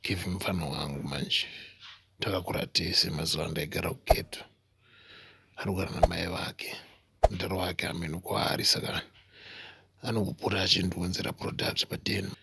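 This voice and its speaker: A man acts out a street-wise cartoon character with a Zimbabwean accent. His voice sits low, with a gravelly fry and a hard, forceful edge. He sounds blunt and sure of himself. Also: steady tone, moderate pace, long pauses, heavily acted. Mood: boastful, knowing. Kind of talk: comedy cartoon dialogue.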